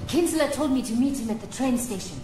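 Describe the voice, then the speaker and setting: A woman's voice: a little high, expressive, a little fast, lightly acted. An actress playing a determined young woman trying to save her father, earnest and urgent. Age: young adult. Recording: game audio log with background noise.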